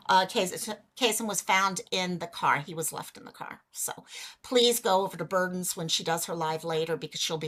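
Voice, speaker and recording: A woman hosting a casual livestream, chatty and greeting viewers by name, a little hoarse as she is losing her voice. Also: fairly low pitch, sharp tone. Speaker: livestream host.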